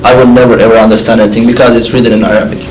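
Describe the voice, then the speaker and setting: A man's voice: mid-range voice, level tone, racing, a little mellow, roomy sound, slight background noise. Earnest man, Turkish native speaker. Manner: earnest, non-native phrasing. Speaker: student. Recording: distant mic.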